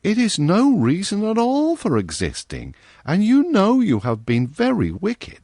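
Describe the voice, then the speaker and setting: A man's voice: high voice, wildly swooping pitch, measured pace, warm tone, no room sound, clean studio sound, voice pushed up, heavily acted. Prim, earnest young girl, as a man puts her on. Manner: scolding, primly earnest. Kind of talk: storybook reading.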